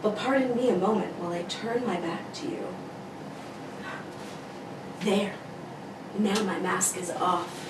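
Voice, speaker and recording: A woman's voice: medium pitch, natural voice. Woman acting a solemn masked official, roomy and noisy. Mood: solemn, commanding. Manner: solemn, formal, deliberate. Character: grave masked secret government official recruiting a hero. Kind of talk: amateur table read of 1940s radio serial. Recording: roomy, distant mic, clearly noisy.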